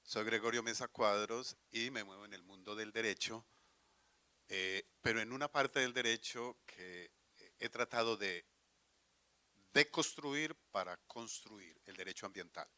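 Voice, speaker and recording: A man's voice: on the low side, bright, forceful tone, dry recording. A man, a law professor, giving conference remarks in a storytelling way.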